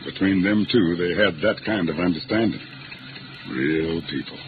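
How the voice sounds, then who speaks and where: An actor playing a deferential, solemn man who speaks in simple, halting phrases in an old radio drama. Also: low voice, lightly acted. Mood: solemn, earnest. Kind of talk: 1950s radio drama acting. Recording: tinny, noisy old radio recording.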